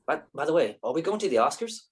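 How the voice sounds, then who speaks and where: Man acting a whiny, dramatic actor, swooping pitch. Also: big pitch swings, quick pace, breathy edge. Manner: whiny, theatrical, pretentious. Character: dramatic, flirty, self-pitying actor in his mid-thirties. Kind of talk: staged play reading.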